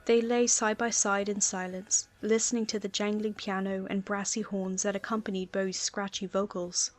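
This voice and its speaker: A woman's voice: mid-range voice, little pitch movement, normal speed. A woman reading a story aloud as scripted audiobook narration. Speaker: audiobook narrator of fan fiction. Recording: music playing underneath.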